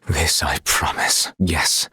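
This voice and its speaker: An actor playing an earnest, chivalrous young knight in a video game, speaking formally and courteously with a husky, breathy voice. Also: male voice, a bass voice, fairly even intonation, slow, pushed and bright, dry recording, studio quality, exaggerated voice. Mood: solemn, devoted. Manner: formal, archaic, courtly phrasing.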